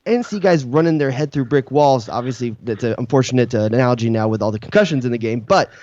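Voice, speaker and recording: A man co-hosting a sports call-in show, blunt and teasing with his callers. His voice sits in a middle pitch and the audio is a touch dull. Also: lively intonation, fast, a little mellow, dry recording. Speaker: radio show co-host. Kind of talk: sports talk radio.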